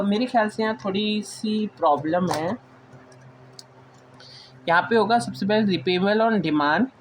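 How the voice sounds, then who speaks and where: A woman's voice: medium pitch, a little hiss or hum. A woman with an Indian accent giving an informal lecture, explaining notes point by point and restating them as she mixes in English terms. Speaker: teacher.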